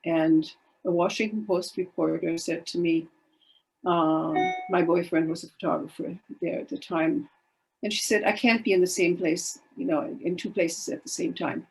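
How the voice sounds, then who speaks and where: A woman's voice: lowish voice, fairly even intonation, moderate pace, gravelly, rough recording. An older woman from Germany whose first language is Polish, telling her life story in a chatty, wry and self-deprecating way on a video call. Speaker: guest speaker. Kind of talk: casual storytelling talk.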